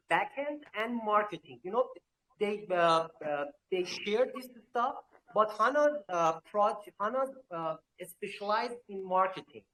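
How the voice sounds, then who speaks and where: A man's voice: sits high, bright, forceful tone, slight background noise, poor audio. Hesitant man full of filler sounds. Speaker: crypto project representative. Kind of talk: live online AMA answers.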